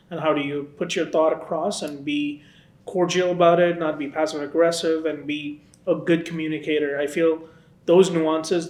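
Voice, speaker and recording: Chatty man. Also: a little high. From India. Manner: chatty, animated retelling with many "like" fillers. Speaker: interviewee. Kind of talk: casual documentary interview. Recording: roomy echo.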